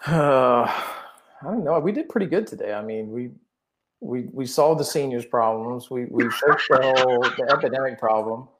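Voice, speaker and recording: A man's voice: mid-range voice, lively intonation, normal speed, heavy background noise. A man raised in England, now speaking, chatting as a podcast guest and often repeating words as he gets going. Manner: rambling, repeats words when starting sentences. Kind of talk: casual chat.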